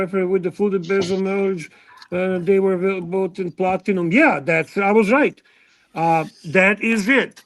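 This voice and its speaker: Serbian accent guy rambling about watches, high-ish voice, dry close sound, lots of uhs. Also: a bit bright, no echo, poor audio. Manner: halting, lots of restarts and filler. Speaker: watch expert on a livestream. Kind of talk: casual livestream chat.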